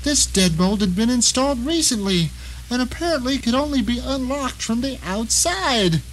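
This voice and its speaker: A man's voice: very high-pitched, lively intonation, normal speed, pitched above the performer's own voice, rough recording. A man playing a bitter, paranoid blind novelist who narrates his nightmare in a wry, grumbling, theatrical way for an audio drama. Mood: confused, irritated. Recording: noticeable rain and ambient noise behind.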